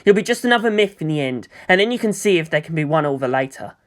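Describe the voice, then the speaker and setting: A woman reads the part of a frustrated young male hero in an audiobook. The delivery is emphatic and halting, with big swings in pitch. The character pleads with open exasperation. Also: expressive. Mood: exasperated pleading. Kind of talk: dramatic audiobook character reading.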